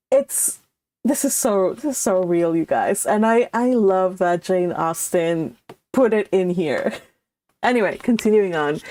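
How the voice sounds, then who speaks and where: Female read-along host, mid-pitched, classic fiction with chatty, expressive asides, dry recording. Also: no echo. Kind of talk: reading a classic novel aloud with casual asides.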